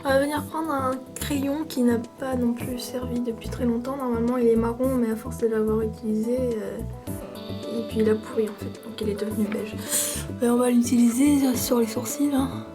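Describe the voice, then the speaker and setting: A woman's voice: on the high side, fairly even intonation, moderate pace, music in the background. A woman with a cold giving a casual, playful makeup tutorial, hesitating often with fillers. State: stuffy with a cold. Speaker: makeup vlogger.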